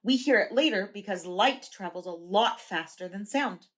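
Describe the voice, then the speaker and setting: A calm, helpful young boy in a picture book who explains things patiently and reassures his friends. He talks gently and steadily, read aloud by a woman. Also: mid-range voice, moderate pace, slight background noise, lightly acted. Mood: explaining. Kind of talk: children's storybook read-aloud.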